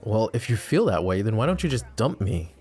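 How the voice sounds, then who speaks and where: A man's voice: lowish voice, very animated, a little fast, a little mellow, faint music behind. A man playing a flirty, possessive mafia boss in an ASMR roleplay, talking in an intimate, teasing, casual way straight to his lover. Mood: heated, defensive. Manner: intimate, teasing, casual, addressing the listener directly.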